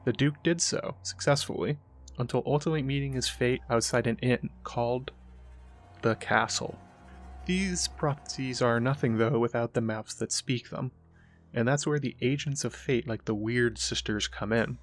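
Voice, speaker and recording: A man's voice: medium pitch, moderate pace, faint music behind. A man hosting a literary video essay, reading scripted explanatory narration.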